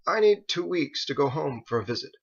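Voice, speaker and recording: Wistful older gentleman, read by a male narrator, earnest, gravelly, storybook dialogue, slightly dull sound. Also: a little high, expressive, brisk, voice pushed up, full character voice. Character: determined older man, quiet and wistful. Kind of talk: acted audiobook dialogue. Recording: dull lo-fi recording.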